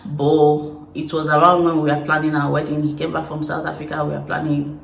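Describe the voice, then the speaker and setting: A young woman from Nigeria with an Igbo accent, casually telling stories to camera in an expressive, chatty way. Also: sits low, fairly quick, vocal fry, reverberant, slight background noise, low-quality recording. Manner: chatty, expressive, laughing storyteller. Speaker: YouTuber. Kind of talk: casual confessional chat to camera.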